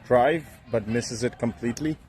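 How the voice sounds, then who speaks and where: A man from Bangladesh with a Bangladeshi English accent, giving relaxed, halting cricket commentary full of fillers outdoors by the field. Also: medium pitch, a faint crowd, slight background noise. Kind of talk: casual live cricket commentary.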